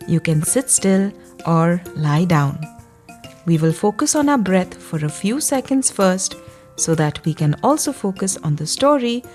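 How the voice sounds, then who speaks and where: A woman narrating a scripted bedtime story for young children, guiding them in a gentle, soothing manner. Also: lowish voice, very animated, soft background music. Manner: slow, soothing guidance addressed to young children. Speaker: children's storyteller and yoga guide.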